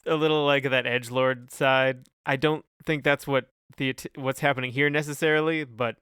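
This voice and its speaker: A man with a clear, bright voice, recorded very dry and close. He talks casually as a podcast co-host, feeling his way through each thought and qualifying it as he goes. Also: mid-range voice, steady tone, moderate pace, no echo. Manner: hesitant, hedging, thinking aloud. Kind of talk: casual discussion of song lyrics.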